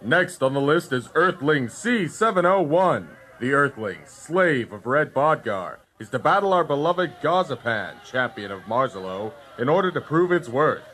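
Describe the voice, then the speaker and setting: A man's voice: medium pitch, sing-song, normal speed, few pauses, fairly bright tone, pitched above the performer's own voice. A man voicing a bombastic alien slave-master who proclaims grandly as an arena announcer in a radio drama. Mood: pompous proclaiming. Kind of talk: old-time radio drama acting.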